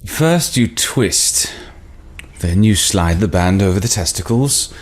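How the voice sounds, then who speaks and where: A man's voice: deep voice, animated, takes it slowly. An actor reading a suave, crude Frenchman in a screenplay table read, with a smug, teasing delivery.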